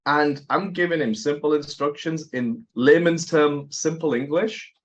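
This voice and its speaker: A man hosting an interview, talking in an animated, conversational way and thinking aloud. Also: a little high, measured pace. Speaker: podcast host. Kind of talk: casual interview chat. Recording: dull lo-fi audio.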